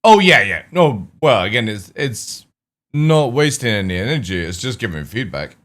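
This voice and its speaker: A man's voice: medium pitch, sing-song, normal speed, fairly bright tone. A young man streaming, chatting casually with viewers, swearing now and then, his voice a little crackly. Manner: casual, swears, greets chat by name. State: slightly crackly voice.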